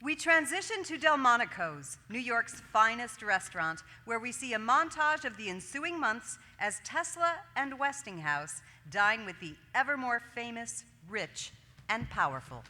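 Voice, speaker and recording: A woman's voice: a little high, animated, a little slow, sharp tone. A woman reading scripted stage directions as the narrator of a staged performance. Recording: reverberant hall.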